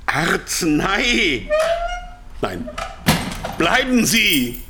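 A man's voice: high-pitched, very animated, very slow, very noisy, full character voice. An actor playing a frightened, exasperated captive businessman who pleads and insists in a radio drama. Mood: pleading panic. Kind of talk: radio play acting, narrated dialogue.

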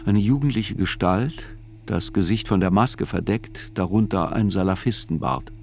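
An actor playing a writer who narrates a trial in a radio play, in a measured, sober, observant way. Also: male voice, lowish voice, darkish tone, slight background noise, lightly acted.

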